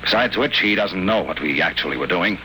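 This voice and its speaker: An actor playing a world-weary private eye who narrates in wry, clipped lines in a 1940s radio drama. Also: male voice, low voice, animated, a little fast, sharp tone, slight background noise, low-quality recording. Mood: tough. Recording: old radio broadcast under music.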